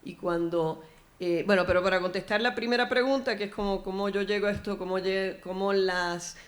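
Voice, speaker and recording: A middle-aged woman with a Puerto Rican Spanish accent speaks on a forum panel, recounting her path in an earnest, reflective tone. Her pitch sits in an ordinary middle range, and her phrasing often restarts mid-sentence. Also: steady tone, a little fast. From Puerto Rico. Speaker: panel speaker, former elected official. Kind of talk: personal speech at a panel forum.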